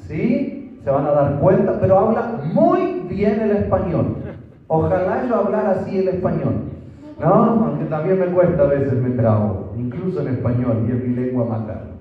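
An Argentine man with a fairly high voice speaks to a congregation in a friendly, coaxing way. He is heard from far off, and his voice bounces around a big echoing hall with a muffled sound. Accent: Argentine Spanish. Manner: friendly, coaxing the audience with tag questions. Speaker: local church leader introducing the speaker. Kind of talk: congregational address. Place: church hall.

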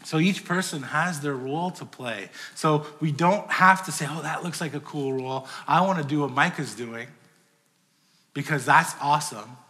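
Male pastor, conversational sermon delivery, slightly high voice, reverberant church hall. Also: moderate pace, sharp tone. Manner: conversational preaching, dynamic swings in volume.

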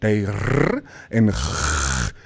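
A man's voice: low-pitched, big pitch swings, very slow, gravelly, husky. A man in casual conversation, arguing his point insistently, switching between English and Afrikaans and laughing easily. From Namibia.

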